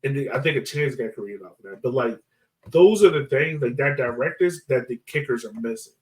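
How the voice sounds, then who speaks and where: A man's voice: mid-range voice, animated, a little fast, far from the mic, low-quality recording. Man chatting sports, slightly off the mic. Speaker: soccer podcast co-host. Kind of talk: casual sports chat.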